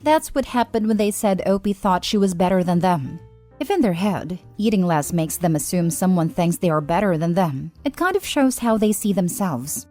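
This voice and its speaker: A woman's voice: lowish voice, animated, moderate pace, dark tone. Woman narrator reading posts aloud. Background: faint background music.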